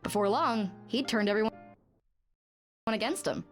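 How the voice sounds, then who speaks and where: A woman's voice: on the high side, moderate pace, faint music behind, lightly acted. Sardonic, gossipy female colleague, played by an actress. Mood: dry, gossipy. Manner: dry, wry storytelling. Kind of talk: video game dialogue acting.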